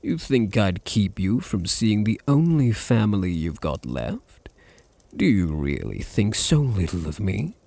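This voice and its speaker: A man with a deep British voice playing a possessive, dominant vampire master in an intimate ASMR roleplay, teasing and condescending, with a gravelly, husky murmur. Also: sing-song, dark tone, no echo, exaggerated voice. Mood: mock hurt. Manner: slow, teasing, condescending, drops into breathy murmurs.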